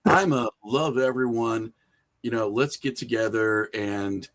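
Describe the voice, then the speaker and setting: A man's voice: mid-range voice, a little slow, a bit bright. Candid middle-aged man chatting casually. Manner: candid, self-mocking, profane. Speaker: podcast guest, horror filmmaker and writer. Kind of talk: casual interview chat.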